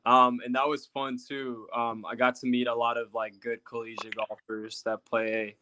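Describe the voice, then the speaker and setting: A man's voice: medium pitch, level tone, normal speed, sharp tone, a little hiss or hum, low-quality recording. A young man from Puerto Rico, a guest telling rambling stories in casual chat with many fillers.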